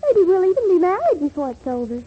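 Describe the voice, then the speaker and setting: A woman's voice: extremely high pitch, big pitch swings, fast talker. A woman playing a sweet, earnest young sweetheart with a coaxing manner, in 1940s radio comedy style. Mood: tender, hopeful. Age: young adult. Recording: muffled, noisy old radio, studio audience.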